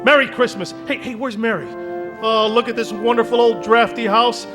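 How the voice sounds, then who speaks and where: An actor playing an excited, stammering family man in a live radio play before an audience. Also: extremely high pitch, normal speed, music in the background. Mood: joyful. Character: overjoyed family man, exuberant father.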